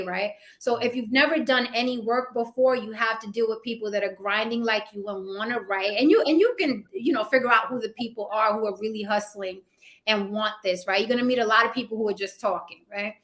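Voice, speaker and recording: A woman's voice: fairly high pitch, a bit bright. A woman actress being interviewed, talking casually and animatedly, often repeating words for emphasis and asking "right?". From Puerto Rican heritage. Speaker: actress and YouTuber guest.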